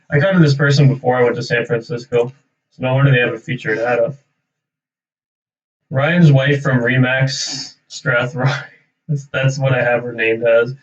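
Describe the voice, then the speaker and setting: A young man chatting casually and off the cuff on a livestream, rambling and thinking aloud. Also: mid-range voice. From Canada. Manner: rambling, thinking aloud, tags sentences with "right?". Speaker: realtor hosting a live cold-calling stream. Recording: distant, slightly dull microphone.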